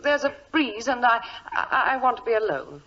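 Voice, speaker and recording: An actress playing a genteel, nervous and protective young fiancée with hesitant delivery in a 1940s radio drama. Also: female voice, fairly high pitch, sing-song, moderate pace, very noisy. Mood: flustered, evasive. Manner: hesitant, faltering. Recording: dull vintage radio recording.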